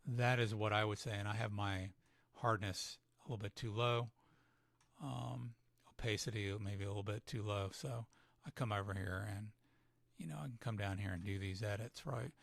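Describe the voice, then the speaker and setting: A man giving a casual live software tutorial, thinking aloud with fillers, his voice starting to go. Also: on the low side, fairly even intonation, moderate pace, vocal fry, no room sound. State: losing his voice. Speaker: photographer demonstrating editing software.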